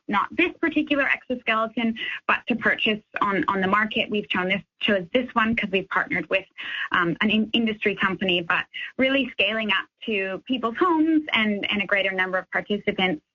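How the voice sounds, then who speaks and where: A woman researcher explaining her work as a radio interview guest, in halting phrases. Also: mid-range voice, bright, forceful tone, slightly rough, dull audio.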